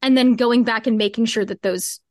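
A woman with a fairly high-pitched voice talks as an interview guest. She explains her process casually and thoroughly, in chatty phrasing. The sound is very dry and close, with no room on it. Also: steady tone, fairly quick, no room sound. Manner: explanatory, informal, peppered with "like" and "cool". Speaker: podcast guest, audio drama co-creator. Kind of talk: casual interview conversation about craft.

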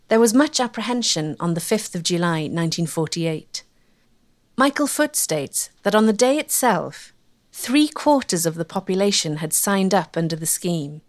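A woman giving measured, scripted documentary narration. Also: medium pitch. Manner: clear, measured storytelling.

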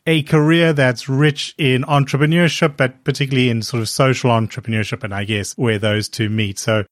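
A man's voice: medium pitch, steady tone, a little fast, no echo, studio quality. A man hosting a podcast, reading a polished opening and then asking curious, articulate questions. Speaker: podcast host. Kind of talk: scripted intro and interview questions.

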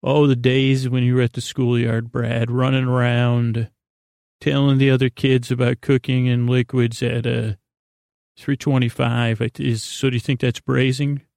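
A man hosting a sleep podcast with a rambling, improvised monologue, halting and circling back on himself in an unhurried, lulling way. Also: medium pitch, little pitch movement, creaky, darkish tone, no room sound, clean studio sound. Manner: halting, meandering, self-interrupting, with trailing repetitions. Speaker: podcast host.

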